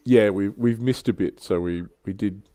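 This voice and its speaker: A man with a fairly deep, mellow voice hosts a casual livestream chat. He talks informally, like someone swapping anecdotes and jokes with friends. The sound is dry, with very little room in it. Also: normal speed, no room sound. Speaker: show host.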